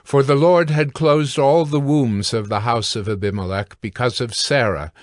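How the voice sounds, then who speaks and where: A man narrates scripture in a medium-pitched voice. It is a scripted reading in the role of storyteller, recorded dry with little room sound. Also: fairly even intonation, normal speed, no room sound.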